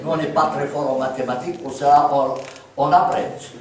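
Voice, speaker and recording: A man in his sixties from Palestine gives a talk to a live audience, walking them through examples and checking that they follow. His voice sits high for a man. He sounds distant from the microphone, and the room adds heavy echo. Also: steady tone, fairly quick, darkish tone, a little hiss or hum. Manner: explanatory, asks listeners for agreement. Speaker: speaker at a public talk. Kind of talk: lecture with audience questions. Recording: echoey room, speaker far from the mic.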